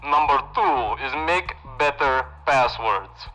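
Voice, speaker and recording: An actor playing a cocky, self-important technomancer who gives breezy, instructive safety announcements on the radio in a sci-fi audio drama. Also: male voice, mid-range voice, animated, takes it slowly, sharp tone, lo-fi sound, soft background music. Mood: instructive, smug. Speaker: in-story radio broadcaster.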